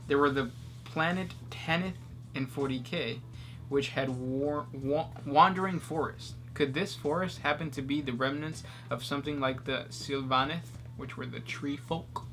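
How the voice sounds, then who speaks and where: A man's voice: on the high side, fairly bright tone. A man co-hosting a casual chat, reading out fan questions with easygoing fillers. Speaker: podcast co-host reading questions.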